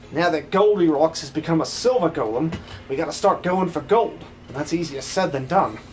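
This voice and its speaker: Lively mining girl played by a man. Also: a little high, a little hiss or hum, close to an everyday voice. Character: bossy, chirpy young working-class woman of a mining crew. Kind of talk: comic fan dub of a video game.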